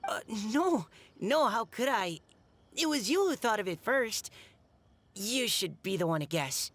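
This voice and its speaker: A woman's voice: on the high side, big pitch swings, a little slow, fairly bright tone, a little hiss or hum, heavily acted. A woman voicing a shy, earnest young boy knight in a video game, hesitant and stammering. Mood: flustered. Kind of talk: video game character acting.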